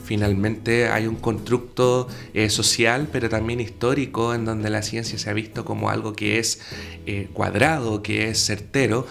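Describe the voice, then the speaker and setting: A man's voice: fairly low pitch, level tone, a little slow, vocal fry, a bit bright, faint music behind. A man with a Chilean accent giving earnest, explanatory answers as an interview guest. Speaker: guest, secondary school science teacher.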